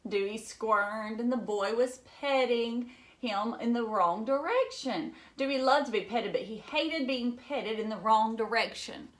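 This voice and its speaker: A woman teacher reading a children's storybook aloud, expressive and dramatic, as if to young listeners. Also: a little high, unhurried.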